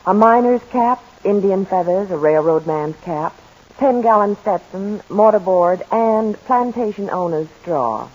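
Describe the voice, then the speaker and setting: A woman chatting brightly in a scripted radio intermission. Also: medium pitch, lively intonation, unhurried. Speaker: intermission guest. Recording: lo-fi 1940s radio broadcast.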